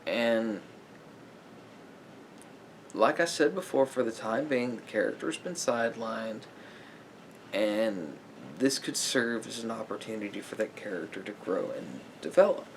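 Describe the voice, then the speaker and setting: A man speaking softly as a therapist in an ASMR roleplay, in a measured, halting, explanatory way. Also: on the low side, animated, a little hiss or hum.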